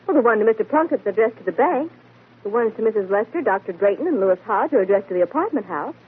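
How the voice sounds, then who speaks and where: An inquisitive young female detective's assistant, with a lively, swooping delivery and a slightly husky voice. She sounds like 1940s radio acting over a narrow, tinny broadcast. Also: on the high side, quick pace. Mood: puzzled.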